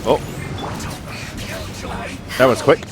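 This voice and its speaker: A man giving casual, offhand gameplay commentary as a video host, with game music behind him. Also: drawn-out words. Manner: offhand reactions, muttering to himself. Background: video game music and sounds.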